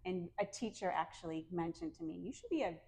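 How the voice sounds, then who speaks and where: A middle-aged woman with a New England American accent, a calm and soft-spoken podcast guest chatting casually and laughing often. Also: lowish voice, fairly quick, gravelly, slight background noise. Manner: friendly, laughs often, many fillers. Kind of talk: casual interview chat.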